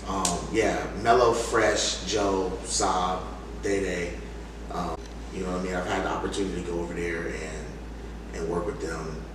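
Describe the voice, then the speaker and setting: Male music-artist guest, deep voice, slangy casual delivery, very reverberant room. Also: steady tone, a little slow. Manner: informal, slangy. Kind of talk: casual chat. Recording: very echoey room, distant noisy mic.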